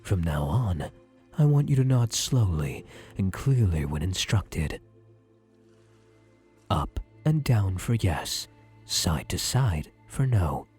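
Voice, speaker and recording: A man acting as a softly controlling male therapist for an ASMR roleplay. His low voice swoops and dips through slow, deliberate reassurances, hushed and breathy, with an obsessive, doting tenderness. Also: sing-song, faint music behind, full character voice. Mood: calm, controlling. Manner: soothing, deliberate, hushed, drops into breathy murmurs.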